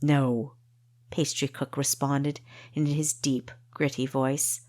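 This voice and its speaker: A woman's voice: extremely low pitch, level tone, slow pace, darkish tone, dry recording, clean studio sound. A woman reading scripted fantasy fiction as an audiobook narrator.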